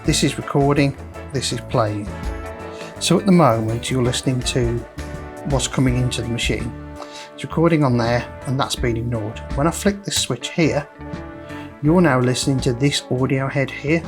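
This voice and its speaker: A man's voice: mid-range voice, moderate pace, music in the background. A man hosting a repair video, casually explaining each step as he works through a hands-on demonstration. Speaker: host of a vintage audio repair video.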